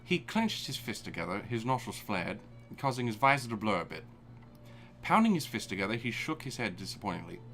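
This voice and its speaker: A man's voice: lowish voice, big pitch swings, a little fast, fairly bright tone, faint music behind, low-quality recording. A man reading fan fiction aloud for laughs on a live stream. He reads dramatically, then breaks off to laugh and swear. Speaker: fanfic reader. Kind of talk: comedic live fanfic reading.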